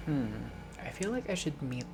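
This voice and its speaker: Visual novel playthrough, mid-pitched voice, expressive narration, casual asides, prominent game music behind. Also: moderate pace. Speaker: gaming content creator reading a visual novel aloud.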